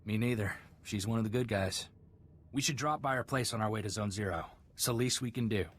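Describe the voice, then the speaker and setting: A man's voice: on the low side, brisk, slight background noise, lightly acted. An actor voicing an earnest, loyal male gunman sidekick in a video game. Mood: earnest, concerned.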